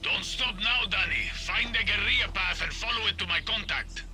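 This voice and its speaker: A man's voice: high-pitched, moderate pace, bright, forceful tone, soft background music. An actor playing a theatrical, boastful older guerrilla mentor who jokes his way through orders in a video game cutscene. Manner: showy, joking, mock dialogue. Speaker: guerrilla contact giving orders.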